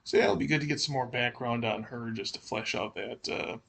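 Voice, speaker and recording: A man co-hosting a podcast, speaking casually and in a measured way as he prompts discussion. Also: mid-range voice, vocal fry, a bit bright, a little hiss or hum. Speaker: podcast co-host.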